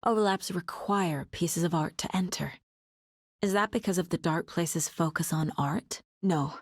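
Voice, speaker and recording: A woman's voice: fairly low pitch, a little slow, dry recording, studio quality. An actress voicing a focused, determined female investigator in a video game, troubled but dryly wry. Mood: focused, pensive. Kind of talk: dramatic game voice lines.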